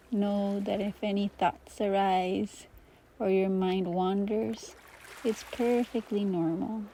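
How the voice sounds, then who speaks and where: A woman teaching meditation, guiding listeners gently through breathing and gratitude with soft pauses between phrases. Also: medium pitch, vocal fry, slight background noise, low-quality recording. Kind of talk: guided meditation.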